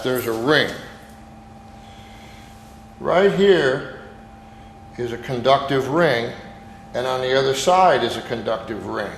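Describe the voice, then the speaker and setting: Guy doing a plain step by step how-to demo, really echoey room, bit of noise behind him. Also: mid-range voice. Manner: step-by-step, plain-spoken instructions. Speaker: technician demonstrating an installation. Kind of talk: hands-on instructional demonstration.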